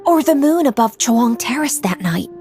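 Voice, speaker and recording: A woman's voice: fairly high pitch, expressive, moderate pace, a little mellow, faint music behind, close to an everyday voice. A woman playing a young, diligent female official in a video game, speaking in a composed, polite and formal way.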